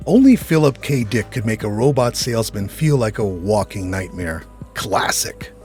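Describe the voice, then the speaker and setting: A man's voice: lowish voice, big pitch swings, normal speed, a little mellow. A man hosting with scripted introductions and commentary. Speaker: host. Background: faint music.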